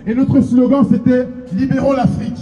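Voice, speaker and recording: A man's voice: very high voice, level tone, normal speed, lo-fi sound. Guy at a rally declaiming, echoey and distant, crowd behind. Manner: slogan-like, declamatory, shouting. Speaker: rally speaker. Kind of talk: rousing protest speech. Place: outdoor square at a rally. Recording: echoey, far from mic, crowd.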